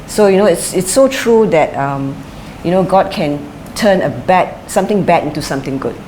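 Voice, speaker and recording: Interview subject, middle-aged woman, lowish voice, measured and reflective, faint hiss behind. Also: expressive, darkish tone, rough recording. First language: English is her first language; Chinese is her second. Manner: thoughtful, with frequent fillers and restarts. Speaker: interviewee, former sprinter and coach. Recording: light background noise.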